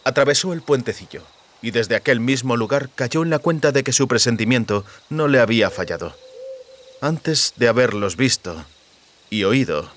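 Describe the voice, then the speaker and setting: A man's voice: mid-range voice, moderate pace, fairly bright tone, a little hiss or hum. A man narrating a literary audiobook in a dramatic, scripted storytelling style. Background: music.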